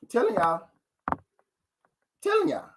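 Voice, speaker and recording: A man's voice: extremely high pitch, huge pitch swings, unhurried, noisy background. A man hosting a live boxing talk show, arguing his point with rhetorical questions, repeating himself and greeting viewers from the chat.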